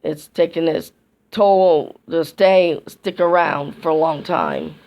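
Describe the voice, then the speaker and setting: A woman chats to her vlog viewers in a hoarse, gravelly voice that is nearly gone. She rambles and keeps restarting her sentences. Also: low voice, highs cut off. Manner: rambling, halting, many restarts. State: hoarse, losing her voice from a sinus infection. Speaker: YouTube vlogger. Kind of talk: casual vlog chat to camera.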